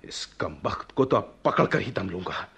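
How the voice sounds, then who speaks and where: Earnest young prince, courtly Urdu radio drama, lowish pitch, very animated delivery. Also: male voice, normal speed, breathy edge, heavily acted. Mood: musing, then agitated. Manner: courtly, theatrical diction. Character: lovesick young prince, imperious yet earnest. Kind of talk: Hindi-Urdu radio play acting.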